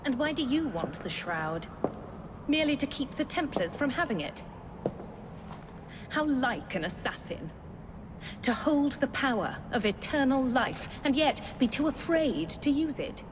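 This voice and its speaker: A menacing, haughty villainess from a game cutscene. Her voice sits a little high and swings widely, slowly relishing each cruelty over clear background noise. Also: big pitch swings. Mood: cold disdain. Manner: haughty, deliberate, theatrical relish. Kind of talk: video game cutscene acting.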